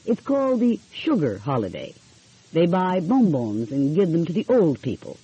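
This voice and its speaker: Woman narrator as kindly middle-aged father, measured, husky, storybook reading, dull recording. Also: on the low side, wildly swooping pitch, dark tone, exaggerated voice. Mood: explaining.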